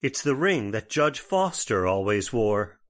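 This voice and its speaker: An actor playing a skeptical, folksy small-town sheriff in an old-time radio horror play, plain-spoken and authoritative. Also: male voice, lowish voice, very animated, measured pace, background noise. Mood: grave.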